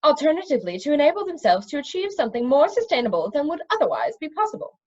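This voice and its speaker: A woman's voice: high-pitched, big pitch swings, fast talker, a little mellow, muffled recording, pitched above the performer's own voice. A woman voicing a long-winded, pedantic male magician in a storybook, with formal, technical phrasing. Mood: pedantic, assured.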